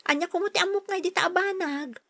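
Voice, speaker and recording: A woman's voice: very high-pitched, fast talker, fairly bright tone. A woman acting in a Filipino radio drama as a teasing, insistent younger sister who coaxes her brother. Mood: pleading, exasperated. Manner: coaxing, teasing. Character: patient's younger sister, bright, teasing, insistent office worker.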